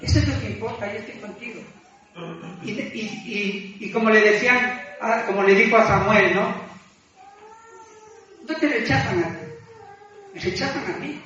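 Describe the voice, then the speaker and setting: Male preacher, high voice, halting and humble delivery of an informal sermon; distant, very reverberant recording. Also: sharp tone, a little hiss or hum. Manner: halting, self-deprecating, repeats phrases. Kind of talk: informal sermon, exhorting and confessing. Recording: echoey room, distant mic, muffled, music behind.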